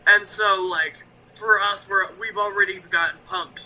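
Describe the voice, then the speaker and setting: A man's voice: high voice, fairly even intonation, moderate pace, bright, forceful tone, low-quality recording. A man who reacts to shows on YouTube, talking frankly as a guest on a stream, rambling through his thoughts. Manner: rambling, frank, lots of fillers and restarts. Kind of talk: casual stream chat.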